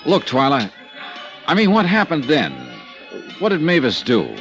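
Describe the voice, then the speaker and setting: An actor playing a terse, hard-boiled insurance investigator in a 1950s radio drama, with a clipped, blunt way of questioning and music playing under the scenes. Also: male voice, on the high side, huge pitch swings, unhurried, fairly bright tone, full character voice. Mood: probing.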